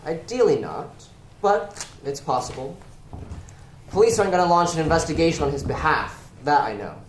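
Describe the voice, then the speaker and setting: A man's voice: on the high side, very animated, moderate pace. A man acting in a stage play as a shady, persuasive crime boss who coaxes and evades. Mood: guarded, instructing. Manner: coaxing, evasive, rhetorical. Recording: echoey room, clear background noise.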